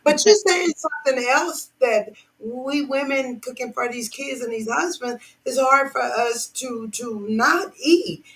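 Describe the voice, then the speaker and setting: Woman, podcast host, animated, high-ish voice. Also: a little slow, few pauses, rough recording. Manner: animated, hyping guest. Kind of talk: casual podcast chat. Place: podcast studio.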